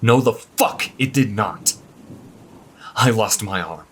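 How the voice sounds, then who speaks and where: A man's voice: lowish voice, takes it slowly. A man playing a defiant healer pleading with his lover in an intense, emotional fantasy audio drama. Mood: defensive, angry. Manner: intense, emotional, swinging from hushed to shouting. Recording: rain and thunder noise behind.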